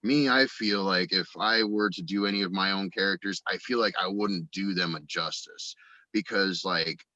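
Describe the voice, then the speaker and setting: A man with a deep voice and a forceful, bright edge talks casually as a guest. He rambles along with enthusiasm. The recording is dry but somewhat dull and lo-fi. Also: normal speed, dry recording. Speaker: guest, audio drama writer and voice actor. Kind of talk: casual interview chat.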